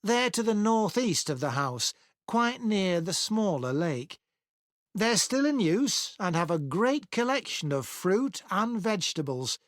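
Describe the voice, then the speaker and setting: A woman's voice: medium pitch, sing-song, measured pace, no echo, clean studio sound. A woman playing a friendly, organised guide giving helpful explanations in a scripted listening-test monologue. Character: friendly organised tour guide briefing volunteers.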